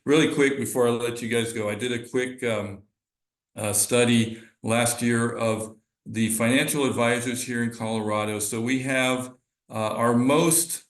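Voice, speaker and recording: A middle-aged man, a financial advisor, speaking as a guest on an online training call, earnest and halting, often restarting his sentences. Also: medium pitch. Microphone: video call. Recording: roomy echo on call audio.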